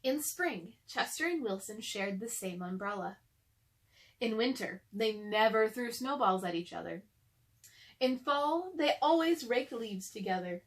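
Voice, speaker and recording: Slow-paced, higher-voiced woman reading a storybook. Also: lively intonation. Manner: addresses young listeners directly. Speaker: storybook reader. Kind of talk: read-aloud children's story narration.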